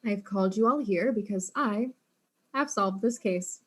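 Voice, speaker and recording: A woman playing a self-satisfied, pompous detective in a comic mystery, speaking deliberately. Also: medium pitch, slight background noise, lightly acted.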